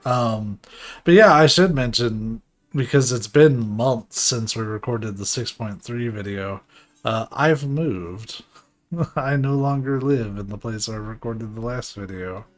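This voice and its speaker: Casting: male streamer with a mid-pitched voice, chatting informally and wandering off on tangents over soft game music. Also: slow pace. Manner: informal, rambling, joking. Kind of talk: casual live game commentary. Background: faint game music.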